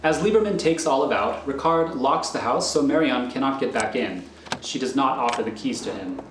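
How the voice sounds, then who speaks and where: A man narrates a thriller script, reading scene directions deliberately. His mid-pitched voice sits in an echoey room with faint noise behind it. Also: animated.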